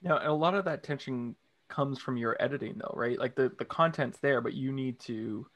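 A man in his thirties hosts an interview show, speaking into a studio condenser mic at home. His voice sits mid-range, and his questions come out in friendly, halting restarts. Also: medium pitch. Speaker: host. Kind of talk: interview host, scripted intro then casual questions. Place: home room, indoors. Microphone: large studio condenser mic with headphones.

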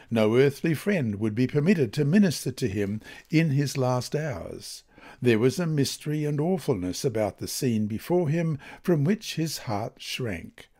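Male devotional narrator. Lively intonation, soft warm tone, reverent and deliberate. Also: medium pitch. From Australia. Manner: measured, reverent, deliberate phrasing. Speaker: Bible lesson reader. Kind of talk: scripted devotional narration and prayer.

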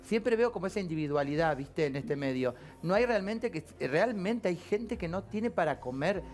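A man's voice: sits high, animated, moderate pace, darkish tone. A middle-aged man with an Argentine accent, talking on a TV studio panel, indignant and emphatic, swearing now and then. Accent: Argentine Spanish. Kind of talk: heated TV panel talk. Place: TV studio set.

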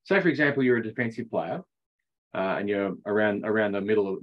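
Husky middle-aged male hockey coach. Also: lowish voice. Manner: chatty explainer who laughs at his own jokes. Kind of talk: online rules session. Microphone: video call. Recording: dull lo-fi video call audio.

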